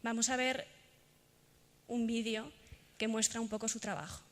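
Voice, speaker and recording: Spanish woman from spain doing a conference talk, pretty even tone, big echoey hall. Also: fairly high pitch, moderate pace. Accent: Castilian Spanish. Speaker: conference speaker. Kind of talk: prepared talk with recited verse and examples. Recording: echoey hall with long reverb.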